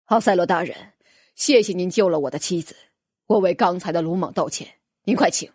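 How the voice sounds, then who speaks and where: A woman's voice: lowish voice, brisk, a little mellow, slightly rough, no room sound, studio quality. A woman voicing a grateful, flustered dragon husband in a fantasy audiobook, deferential and effusive. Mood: grateful, eager.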